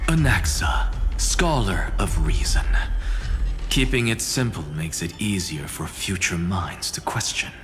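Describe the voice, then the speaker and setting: An actor playing an aloof, dry male scholar in a game, speaking low and half-whispered. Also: big pitch swings, unhurried. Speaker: game character. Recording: game cutscene with music underneath.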